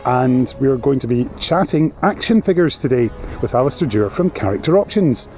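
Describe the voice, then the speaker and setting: A man with a mid-range voice hosts a podcast, playful and fond of wordplay. A recent cold has left him slightly gravelly. Soft music sits faintly underneath. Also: medium pitch, lively intonation, normal speed, dull audio. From Scotland. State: slight cold, more gravelly than normal. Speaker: podcast host. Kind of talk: podcast chat and interview. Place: at a table across from his co-host.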